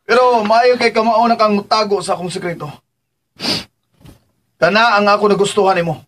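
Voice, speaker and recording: High-voiced, bossy young master. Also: male voice, steady tone, normal speed, fairly bright tone. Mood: defiant. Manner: insistent, bossy. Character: willful, demanding young man in a wheelchair, Dennis. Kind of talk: radio drama acting.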